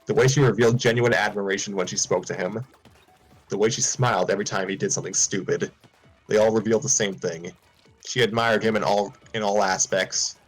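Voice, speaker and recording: A man's voice: on the low side, steady tone, fairly quick, soft background music, low-quality recording. A man reading a fan story aloud, often stumbling and restarting lines.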